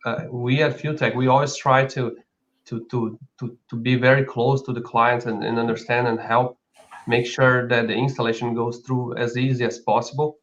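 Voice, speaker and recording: A man's voice: mid-range voice, level tone, moderate pace, dull audio. A man from Brazil with a Brazilian accent, speaking as a company founder co-hosting an informal live product talk.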